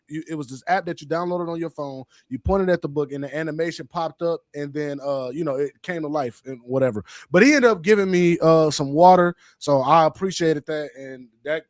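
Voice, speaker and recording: A man co-hosting a podcast, telling stories in an animated, slangy way. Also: on the high side, a little fast, few pauses, no echo, studio quality. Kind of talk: casual podcast chat.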